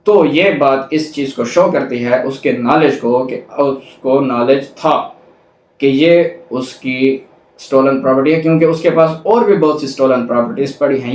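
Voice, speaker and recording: A man's voice: a little high, a little mellow. Male law lecturer, Pakistani accent, explanatory teaching delivery, recorded in a roomy, echoing space a little away from the mic. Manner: reads legal text slowly and deliberately, explains briskly. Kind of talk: explanatory lecture, reading statutes then explaining. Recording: roomy echo, distant microphone.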